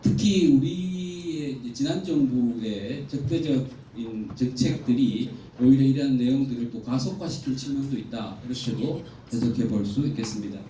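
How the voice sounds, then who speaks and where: A man giving a formal, measured academic presentation from slides at a conference, explaining point by point. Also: medium pitch, warm tone. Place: indoor conference hall. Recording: echoey hall, distant mic, faint noise.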